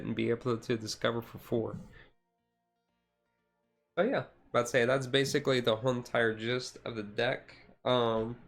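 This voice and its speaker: Casual, halting man on a chill stream. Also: medium pitch. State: voice tiring. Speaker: streamer, card game hobbyist. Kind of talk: casual deck walkthrough, chill ASMR stream.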